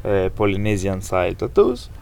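A man with a Greek accent, chatting casually and thinking aloud, with many pauses and fillers. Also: low voice, huge pitch swings, a little mellow, smooth. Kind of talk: casual chat.

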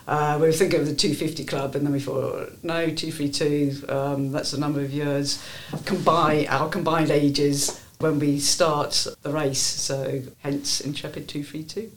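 A woman in her fifties from Jersey answering interview questions hesitantly, with many pauses and ums. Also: low-pitched, level tone. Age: 50s. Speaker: guest.

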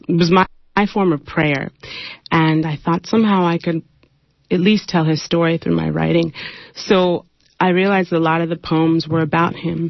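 Woman poet from Nigeria, young adult, low creaky voice, reflective and unhurried on a radio show. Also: no echo. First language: Igbo. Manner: thoughtful, unhurried, with fillers; raised, pointed delivery when reading poetry. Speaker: poet, interview guest. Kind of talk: radio interview, then poetry reading. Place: radio studio. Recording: muffled dry radio studio sound.

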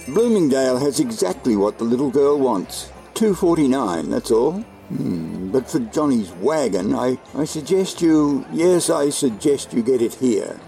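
Man acting kindly old Santa, creaky and lively. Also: medium pitch, exaggerated voice. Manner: chuckling, hesitant repetitions, genial. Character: kindly old department-store Santa, gentle and merry. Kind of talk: old-time radio play acting.

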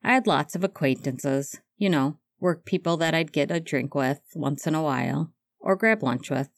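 A woman voicing Remus, a gentle, earnest man who works for social services, speaking softly. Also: deep voice, normal speed, no room sound.

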